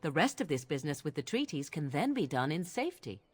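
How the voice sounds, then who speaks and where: A woman's voice: low-pitched, sing-song, quick pace, husky, heavily acted. An actress playing a haughty, cutting young witch in a fantasy video game, archly formal and full of mocking disdain. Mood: cold, imperious.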